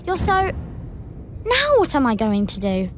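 A woman's voice: high voice, wildly swooping pitch, a little slow, slight background noise, full character voice. A woman voicing a prim, indignant, scolding schoolgirl witch in a video game. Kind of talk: video game character acting.

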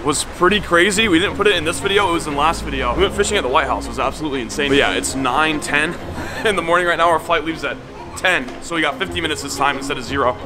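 A man's voice: on the high side, fast talker, music in the background. A young American man chatting casually to camera as a fishing vlogger, in informal slangy talk. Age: young adult. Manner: informal, slangy, bro-heavy. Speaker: fishing video host. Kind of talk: casual vlog chat to camera. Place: outdoors by water, on a boat and in transit.

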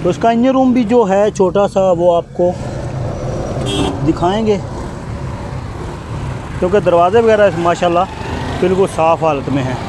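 A man with a high voice gives brisk, repetitive salesman patter while he walks around used cars. He is recorded outdoors on a camera mic at a busy roadside bazaar, with clear street noise behind him. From Pakistan. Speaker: used-car video host. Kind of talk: walkaround car sales commentary.

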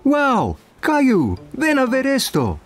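A patient young children's cartoon father. His intonation swings widely and he takes his time, gently encouraging and reassuring. Light music runs behind the voice. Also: extremely high pitch, wildly swooping pitch, slow, exaggerated voice. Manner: gentle, encouraging, sing-song explaining.

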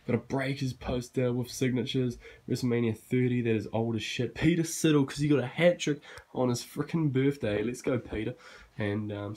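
Laid-back young man, slightly hoarse. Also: mid-range voice, darkish tone. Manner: laid-back, swears casually, rambling asides. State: losing his voice, clearing throat. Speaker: gaming channel host. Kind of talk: casual room tour vlog. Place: bedroom gaming setup. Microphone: handheld phone camera.

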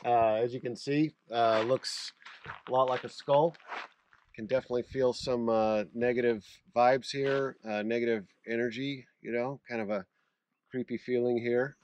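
A middle-aged American man hosting a travel vlog outdoors, giving casual, halting tour commentary to a camera a few feet away. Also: mid-range voice, steady tone, darkish tone. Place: outdoors in open desert. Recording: outdoor camera audio with clear background noise.

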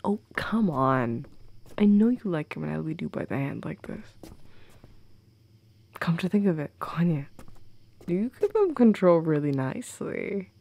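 Goth girlfriend, played by a woman for intimate roleplay audio: unhurried, gravelly fry, soft, playful, affectionate. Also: sits low, very animated, exaggerated voice. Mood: playful, teasing. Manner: teasing, giggly, intimate. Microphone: close, dry microphone.

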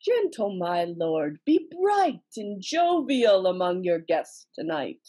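A woman in an amateur Shakespeare reading, playing a worried, coaxing noblewoman with a husky voice. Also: lowish voice, very animated, slow pace, warm tone, full character voice. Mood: soothing. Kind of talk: amateur readers' theater, Shakespeare. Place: dim room at home on a video call.

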